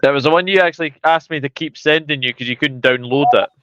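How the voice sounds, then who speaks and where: A man's voice: a little high, quick pace, fairly bright tone, clean tone, no echo. A Scottish man chatting casually as a football fan guest, hesitant and self-deprecating, on a slightly dull recording. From Scotland. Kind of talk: casual chat.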